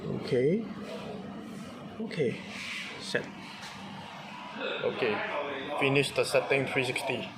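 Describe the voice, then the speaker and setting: Malaysian man, casual product demonstrator, very slow and sparse delivery in clipped phrases, clear background noise. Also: medium pitch, very animated. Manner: clipped fragments, terse step-by-step. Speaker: car audio installer demonstrating a product.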